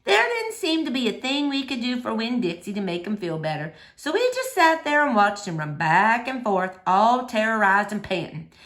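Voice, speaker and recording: A woman reading a children's novel aloud in an expressive, animated storytelling manner. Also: fairly high pitch, moderate pace, hardly any pauses. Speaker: storybook reader.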